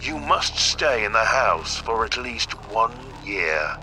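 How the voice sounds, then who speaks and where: An actor playing a solemn, regretful older patriarch, giving a formal, deliberate message on an old tape in an audio drama. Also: male voice, on the low side, big pitch swings, bright, forceful tone, soft background music, full character voice. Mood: grave, regretful. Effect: old tape recording. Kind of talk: slow scripted monologue, audio drama.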